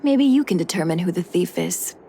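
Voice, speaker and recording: An actress voicing an earnest, loyal female miner in a video game, calm and explanatory. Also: on the low side, a little fast, a little hiss or hum. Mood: thoughtful. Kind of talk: measured video game dialogue.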